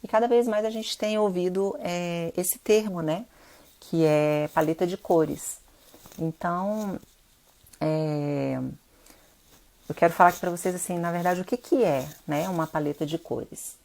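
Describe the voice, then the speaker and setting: A low-pitched Brazilian woman with a gravelly edge to her voice. She sounds stuffy and nasal from rhinitis and talks in a chatty way to the viewers of her live stream. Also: unhurried, darkish tone. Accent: Brazilian Portuguese. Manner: chatty, addresses viewers directly. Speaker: interior designer hosting a live stream. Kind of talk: casual live-stream talk.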